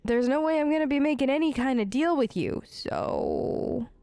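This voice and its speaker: A woman's voice: high-pitched, normal speed, dark tone, dry recording. A woman recording a role-play let's play of a video game, speaking into a close microphone.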